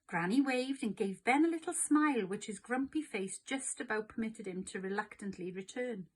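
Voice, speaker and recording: A woman narrating a children's storybook aloud in an expressive, scripted read-along style. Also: on the high side, moderate pace, low-quality recording.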